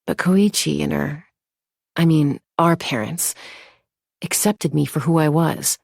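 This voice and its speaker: A woman voicing a confident young female gunslinger and peacemaker in a video game, with a cool, measured, self-assured delivery. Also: low-pitched, vocal fry, dry recording, studio quality, full character voice. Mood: earnest. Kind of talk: scripted game character voice lines.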